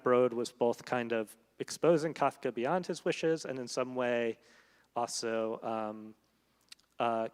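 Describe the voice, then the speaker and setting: A man's voice: medium pitch, smooth. Thoughtful man in a reverberant hall. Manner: thoughtful, hesitant, many fillers. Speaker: literary translator. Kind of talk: onstage conversation. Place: event hall.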